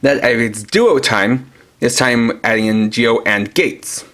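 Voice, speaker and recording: A man's voice: mid-range voice, a little slow, fairly bright tone. Man demonstrating a toy, noisy recording. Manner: explanatory, step-by-step. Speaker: toy reviewer. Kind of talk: product demonstration commentary.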